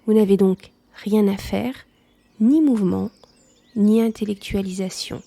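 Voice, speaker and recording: A woman leading a guided yoga nidra relaxation, speaking softly in a calm, soothing manner. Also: medium pitch, a little slow, vocal fry.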